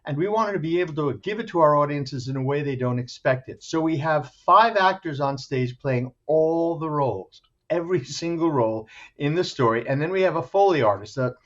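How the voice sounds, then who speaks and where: A man with a slightly high voice speaks as an interview guest, a theater director keen on his production. He describes things with enthusiasm. The sound is a bit dull and lo-fi. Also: lively intonation, a little fast, fairly bright tone. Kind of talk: enthusiastic interview talk promoting a show.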